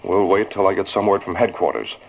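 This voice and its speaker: An actor playing a grave, businesslike newspaper boss in a 1940s radio drama. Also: male voice, low voice, brisk, creaky, slight background noise. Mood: serious. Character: serious, decisive male newspaper boss. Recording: dull vintage radio recording.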